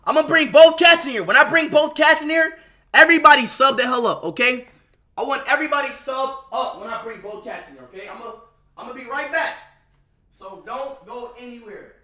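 A young man livestreaming from his bedroom on a headset mic, loud and excitable, often yelling at his chat. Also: very high voice, fairly quick. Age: young adult.

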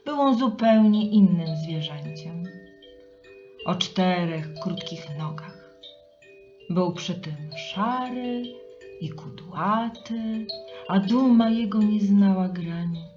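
A woman narrating a children's story in an expressive, dramatic and rhythmic way. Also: mid-range voice, takes it slowly. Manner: dramatic, rhythmic delivery with refrains. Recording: slightly muffled, with music behind. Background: music.

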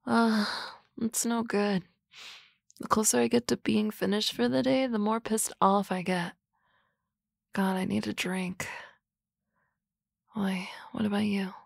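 A woman voicing a sardonic, teasing office-lady boss in an ASMR roleplay, with dry banter and soft whispery asides on a close mic. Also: mid-range voice, level tone, slow pace, gravelly, pauses often, dry recording, full character voice.

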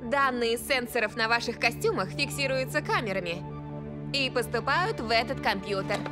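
Woman acting a high-voiced enthusiastic studio guide over music. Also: moderate pace, natural voice. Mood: excited. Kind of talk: animated cartoon dubbing. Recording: music playing behind the voice.

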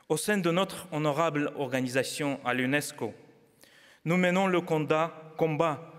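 Man, halting delivery. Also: a little high, fairly bright tone. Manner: careful, halting delivery with frequent self-corrections. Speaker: diplomat giving a speech. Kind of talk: formal speech read from notes. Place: large auditorium stage at a lectern. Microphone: lectern microphones. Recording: long hall reverb.

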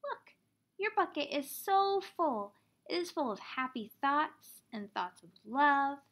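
A woman in her thirties reading a children's picture book aloud like a teacher, expressively, pausing to explain things simply for young children. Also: high voice, big pitch swings, a bit bright. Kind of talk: children's storybook read-aloud.

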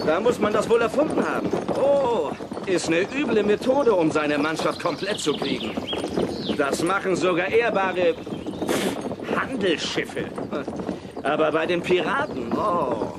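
A man's voice: high-pitched, sing-song, a little slow, heavy background noise. An actor playing a sly, good-natured old seafaring coachman in a children's radio play, rambling and folksy. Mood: cautionary. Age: older. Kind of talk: German children's audio drama acting.

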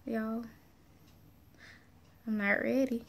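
A woman's voice: a little high, fairly even intonation, very slow, creaky. A woman, chatting casually and informally to camera like a family vlogger. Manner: informal, folksy, conversational. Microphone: phone camera at arm's length.

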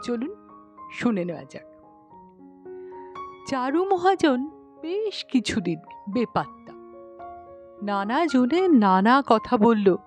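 Lively, high-voiced woman storyteller. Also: takes it slowly, pauses often, soft background music. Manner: dramatic storytelling with acted dialogue. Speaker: audiobook narrator. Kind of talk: expressive scripted story narration.

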